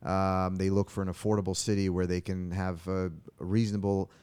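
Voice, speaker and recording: A man's voice: sits low, little pitch movement, normal speed, darkish tone, clean tone. A man hosting a talk show, interviewing his guest in a casual, conversational style.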